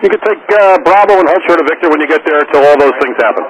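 A man's voice: fairly high pitch, animated, rapid-fire. A man working as an air traffic ground controller, talking over aviation radio in a wry, joking manner. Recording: muffled radio link, faint noise.

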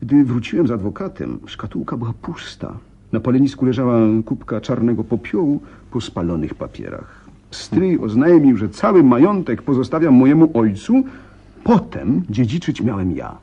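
A troubled, animated young gentleman. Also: medium pitch, normal speed, breathy edge, highs cut off. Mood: uneasy, narrating. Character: frightened, helpless young gentleman recounting family deaths. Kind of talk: radio drama acting.

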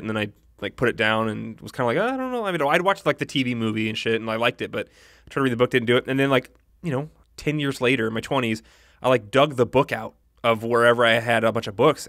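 A man's voice: medium pitch, dry recording, clean studio sound. A man in his thirties hosting a casual podcast chat, rambling and swearing as he talks. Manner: rambling, profane, animated. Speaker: podcast host.

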